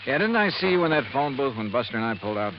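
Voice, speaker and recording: An actor playing a hard-boiled investigator who narrates his own case in a 1950s radio drama, crisp and brisk. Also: mid-range voice, big pitch swings, background noise, close to an everyday voice. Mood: probing. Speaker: narrator and lead detective. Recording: muffled old radio broadcast with music.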